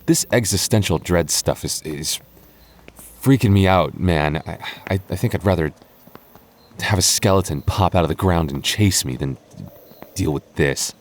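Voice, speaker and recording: A man's voice: low voice, a little hiss or hum, natural voice. A man playing an anxious, protective goth boyfriend in an audio roleplay, stammering and wry as he talks closely to his partner. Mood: nervous, exasperated. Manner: stammering, halting, nervous, wry.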